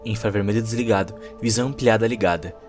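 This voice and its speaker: A man's voice: lowish voice, quick pace. A man giving dramatic scripted narration of a cyberpunk story as its narrator. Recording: music behind the voice.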